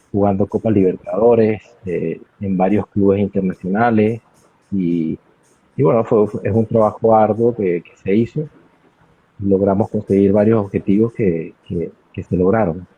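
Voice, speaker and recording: A Chilean football coach with a Chilean accent answering interview questions, relaxed, often hesitating and repeating words. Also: male voice, lowish voice, breathy edge. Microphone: video call. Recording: thin phone-like line.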